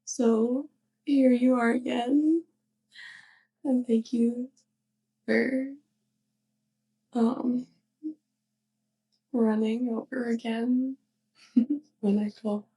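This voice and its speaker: A woman playing a young, clumsy, affectionate witch neighbor in a soft, hesitant ASMR roleplay monologue, speaking straight to the listener. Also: fairly high pitch, fairly even intonation, husky, exaggerated voice, low-quality recording. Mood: shy, grateful. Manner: hesitant, halting, giggly.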